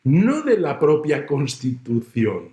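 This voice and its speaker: A middle-aged man from Spain with a Spanish accent, giving a measured, explanatory lecture. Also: fairly high pitch, huge pitch swings, dark tone, reverberant. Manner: measured, explanatory, uses everyday examples. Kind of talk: recorded university lecture.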